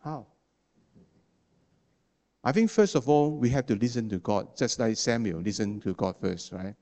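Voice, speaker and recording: A man preaching to his congregation in English, his second language. He speaks haltingly, restarting words and leaning on fillers, and he often checks in with a quick "okay?" at the end of a point. His voice sits at an ordinary pitch for a man. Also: expressive, mellow. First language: not English. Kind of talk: sermon.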